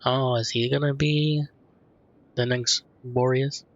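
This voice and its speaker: A man's voice: mid-range voice, fairly even intonation, takes it slowly, slight background noise. A man giving casual let's play reactions while playing a video game. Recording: dull, muffled mic sound. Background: faint game music.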